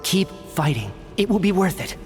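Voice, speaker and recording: A man's voice: high voice, sing-song, moderate pace, a little mellow, soft background music. An actor playing a determined male survivor leader in a video game, urging others on with emphatic, zealous conviction. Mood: earnest, resolute. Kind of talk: dramatic video game voice acting.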